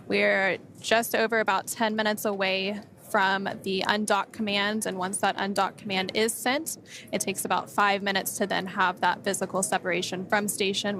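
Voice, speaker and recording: A woman's voice: mid-range voice, gravelly, fairly bright tone, a little hiss or hum. A woman giving explanatory live commentary on a space mission broadcast, partly reading from prepared notes.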